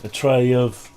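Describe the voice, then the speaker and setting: A man talking through a project update on his own software, rambling and thinking aloud, voice groggy from the flu. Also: medium pitch. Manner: rambling, thinking aloud, frequent throat clearing. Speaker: hobbyist maker showing his own software. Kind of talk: casual screen-share project update.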